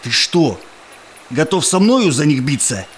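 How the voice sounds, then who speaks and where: Cynical, menacing Cossack villain, verse-speaking audio drama role, lively intonation, slight background noise. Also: male voice, fairly high pitch, unhurried. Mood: sly, scornful. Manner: threatening, scheming, speaks in rhymed verse. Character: greedy, menacing Cossack tax collector, cynical bully. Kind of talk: theatrical audio drama acting.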